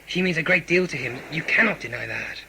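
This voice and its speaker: A man's voice: on the high side, expressive, fairly quick, creaky, sharp tone, exaggerated voice. A man acting a scheming, ambitious conspirator in a sci-fi audio drama, intense, formal and persuasive. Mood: scheming, urgent. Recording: noisy amateur audio play recording.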